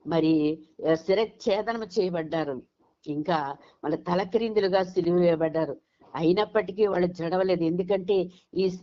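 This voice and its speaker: A woman preaching a sermon over a conference call, retelling Bible stories and often restarting her phrases. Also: fairly low pitch. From Andhra Pradesh, India. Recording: dull, lo-fi conference call audio.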